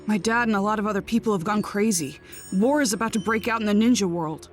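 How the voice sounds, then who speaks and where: A woman's voice: mid-range voice, fast, natural voice. A woman voicing an earnest, determined young ninja boy in an anime game dub, serious and urgent. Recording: background music.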